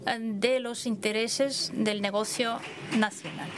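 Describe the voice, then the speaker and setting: A woman's voice: a little high, steady tone, slow, background noise. A woman working as a live simultaneous interpreter at a forum, hesitant, with many fillers and restarts.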